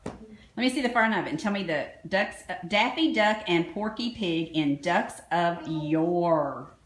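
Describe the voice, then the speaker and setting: A woman's voice: lowish voice, lively intonation, measured pace. A woman, a mother, chatting casually with her family at home. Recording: echoey room.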